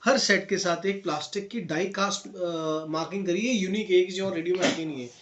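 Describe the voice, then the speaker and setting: A man's voice: high-pitched, fairly quick, fairly bright tone. A man with an Indian accent giving a casual, step-by-step product review, mixing English phrases in and addressing viewers as friends. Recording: dull lo-fi sound, faint noise, clicks.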